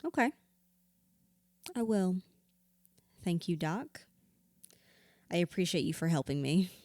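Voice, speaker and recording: A guarded blunt lady in therapy, lowish voice, dry close sound, audio drama. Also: expressive, slow pace, darkish tone, dry recording. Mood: subdued, accepting. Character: troubled woman in therapy, recovering drinker, guarded and blunt. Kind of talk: naturalistic audio drama acting.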